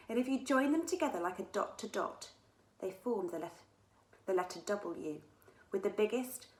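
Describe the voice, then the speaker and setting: A woman reading a children's story aloud as its author, in an expressive storytelling manner. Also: medium pitch. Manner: expressive, with dramatic character dialogue. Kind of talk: scripted children's story narration. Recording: echoey room sound.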